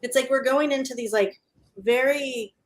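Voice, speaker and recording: A woman in her thirties speaking as a guest in a casual panel discussion, halting and rephrasing as she goes. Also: sits high.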